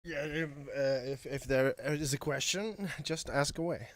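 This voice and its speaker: A man talking casually as a guest composer, in a halting, hesitant way with repeated words. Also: fairly high pitch, no room sound, clean studio sound. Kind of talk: casual chat.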